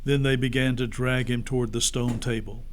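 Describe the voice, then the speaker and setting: A man's voice: medium pitch, level tone, normal speed. A man narrating a story expressively, as a pastor reading aloud to children.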